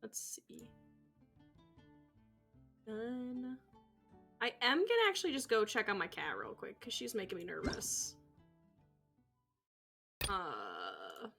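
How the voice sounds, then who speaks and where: A woman streamer chats casually during live gameplay. The voice is bright and lively in pitch with plenty of vocal fry, over soft guitar music. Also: takes it slowly, pauses often. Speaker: game streamer.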